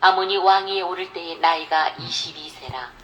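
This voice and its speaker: A woman reading a Bible passage aloud at an even, measured pace. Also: lowish voice, over music, rough recording. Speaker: scripture reader.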